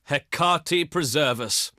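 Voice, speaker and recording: Ancient Greek diplomat, pompous, eloquent, slow and measured, higher-pitched male voice, theatrical game delivery. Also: sharp tone, no room sound, clean studio sound. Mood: scornful, indignant. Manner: pompous, declamatory, measured oratory. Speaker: video game voice actor. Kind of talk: theatrical scripted game voice lines.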